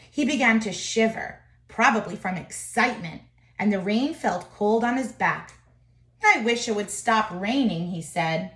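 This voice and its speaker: Female storyteller, teacher type. Higher register, expressive and deliberate read-aloud delivery, noticeably echoing room. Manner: expressive, deliberate storytelling with short phrases and emphasis. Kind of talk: children's picture book read-aloud.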